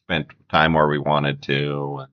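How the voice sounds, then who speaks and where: A man's voice: a bass voice, level tone, slow, dull audio. A man chatting casually as a podcast guest, answering in short, laconic phrases. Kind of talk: casual chat.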